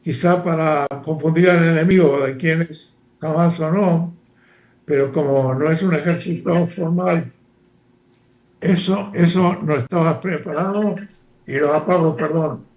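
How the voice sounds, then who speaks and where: An older man from Argentina, a former professor speaking as a guest analyst in a halting, lecture-like way with many fillers and restarts. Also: a little high, fairly even intonation, darkish tone, reverberant, lo-fi sound. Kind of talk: lecture-like interview talk.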